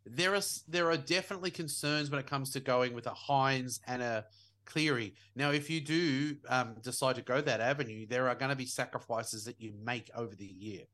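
A young man talks sports in a loose, joking podcast chat. His voice is bright and forward, with a playful edge, and he keeps it casual. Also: mid-range voice, a little fast. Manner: jokey, animated, laughs often. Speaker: podcast co-host.

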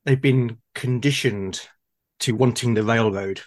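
Man, mid-pitched voice, casual reflective conversation, halting, repeats sentence openings, thinking aloud. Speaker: host and game master in a conversation.

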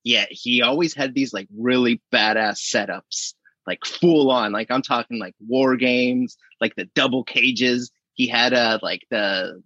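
A man's voice: medium pitch, measured pace, sharp tone, no echo, lo-fi sound. A man in his late thirties, a podcast guest, talking casually and rambling a bit, with many fillers. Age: late 30s. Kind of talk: casual remote conversation.

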